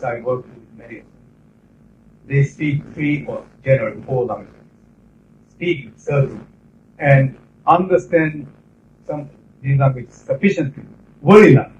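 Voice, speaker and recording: A middle-aged man with a Japanese accent, lecturing a class in English about interpreting in a measured, explanatory way with pauses between phrases. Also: mid-range voice, a little mellow, a little hiss or hum. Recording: roomy, distant, dull 1990s classroom tape.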